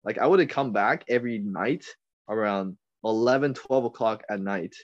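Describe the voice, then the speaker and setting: A young man from Taiwan, a podcast guest chatting casually, laid-back, laughing often and trailing off quietly. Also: lowish voice, normal speed, dull audio. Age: young adult. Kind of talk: casual chat.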